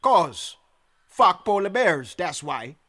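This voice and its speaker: A man plays an excitable, trigger-happy biker thug in an audio drama. He is brash and crude, with a highish, very animated voice that takes its time between outbursts. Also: slow, exaggerated voice. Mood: excited, crude. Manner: brash, whooping, slangy.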